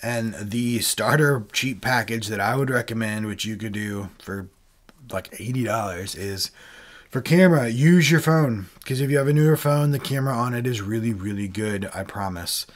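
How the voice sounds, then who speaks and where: A man who is a bit sick, his voice lower than usual, explaining his video setup in a relaxed, chatty livestream. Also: moderate pace. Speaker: video creator and worship leader hosting a livestream. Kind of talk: casual how-to livestream talk. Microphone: desk microphone, webcam video.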